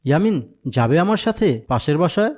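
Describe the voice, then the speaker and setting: A man's voice: high voice, expressive, fairly quick, darkish tone. A man voicing a friendly, patient older companion to a child in a cartoon, with a Bangladeshi accent. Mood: coaxing. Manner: patient, coaxing, explanatory. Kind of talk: cartoon dialogue.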